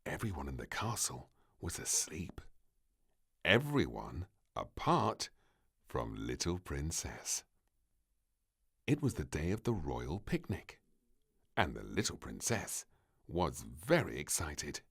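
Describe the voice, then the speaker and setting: A man reading a scripted children's story as narrator, with an expressive, dramatic delivery. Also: very deep voice, takes it slowly, vocal fry, pauses often, slightly rough. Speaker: storybook reader.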